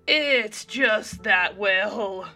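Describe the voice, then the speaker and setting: A woman's voice: medium pitch, extremely slow, sharp tone, faint music behind, full character voice. A woman voicing a young waitress who is prim and disapproving, hesitant at first and then indignant. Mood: hesitant, judgmental.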